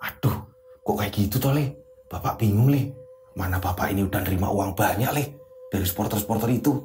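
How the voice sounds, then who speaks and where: Storyteller doing a low, hushed worried father. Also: a little fast, slight background noise, lightly acted. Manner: hushed, fretful. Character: worried older village father, soft-spoken. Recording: roomy sound with faint music.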